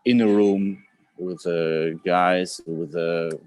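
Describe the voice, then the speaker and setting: A man's voice: deep voice, steady tone, slow, a little mellow, dry recording. A man with a Ukrainian accent, an actor and writer speaking as a guest in an interview, hesitant with many fillers and restarts. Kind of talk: live interview chat.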